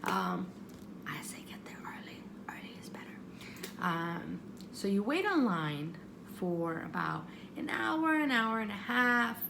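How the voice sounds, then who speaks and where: A woman's voice: medium pitch, very animated, measured pace, gravelly, noisy background. A woman giving casual, rambling advice, often interrupting herself and repeating phrases for emphasis. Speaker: vlogger giving audition advice.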